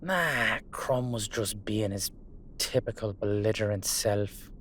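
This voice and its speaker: A man playing a gruff but fond Irish dullahan in a roleplay audio drama, speaking in a colloquial, hesitant, sweary way. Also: on the low side, takes it slowly, a bit bright, a little hiss or hum. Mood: fond, reassuring.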